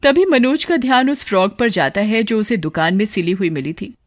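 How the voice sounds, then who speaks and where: Lady narrator reading a cartoon story, plain measured delivery, mid pitch, dry close sound. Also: lively intonation, no room sound.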